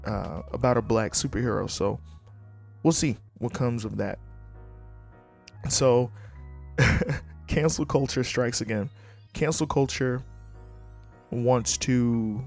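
A man hosting his own podcast in a loose, blunt, joking way, his voice going hoarse. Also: medium pitch, a little mellow. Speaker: podcast host. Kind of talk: casual solo podcast talk.

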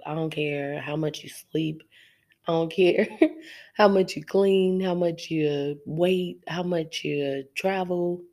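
Low-pitched woman host, nasal from a cold, talking casually and laughing to her listeners. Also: steady tone, slow pace, a little mellow. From Arizona. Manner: chatty, laughs often, addresses listeners directly. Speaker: podcast host. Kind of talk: casual solo podcast monologue.

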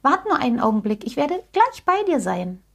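Small male rabbit for a storybook, female performer: friendly, caring, high and delicate. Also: sing-song, normal speed, creaky, heavily acted. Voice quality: delicate, soft little voice. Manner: kind, reassuring.

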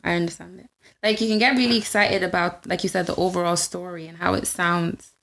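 Gravelly-voiced woman. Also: on the low side, steady tone, a little fast, fairly bright tone, a little hiss or hum. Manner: emphatic, laughing, often quotes people. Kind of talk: casual podcast chat.

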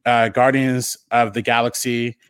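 A man's voice: mid-range voice, flat delivery, a little slow, fairly bright tone, clear, resonant tone, no room sound. A man guest on a casual podcast, thinking aloud with many ums and restarts.

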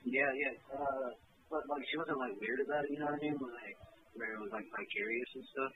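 A man's voice: mid-range voice, fairly quick. A man being interviewed about his music, talking in a laid-back, rambling way full of fillers. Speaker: musician guest. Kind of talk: casual interview chat. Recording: tinny phone-like line with faint noise.

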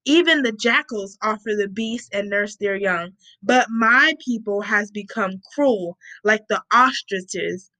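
A woman's voice: mid-range voice, measured pace, few pauses, bright, forceful tone, poor audio. A woman reading scripture aloud with casual, informal asides, often stopping to correct herself.

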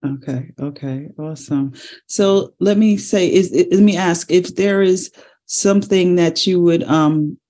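A man's voice: high-pitched, measured pace, a little mellow. A man hosting an interview, asking long, rambling questions with searching restarts. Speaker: host.

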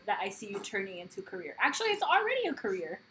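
A woman hosting a podcast, chatting casually and teasing her guest, quick to laugh. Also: medium pitch, wildly swooping pitch, fairly bright tone, slight background noise. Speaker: podcast host.

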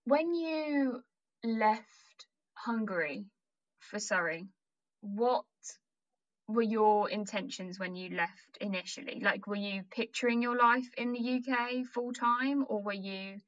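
A woman's voice: on the high side, slow, muffled recording. A young woman interviewing a friend in a casual chat, asking long, rambling, curious questions.

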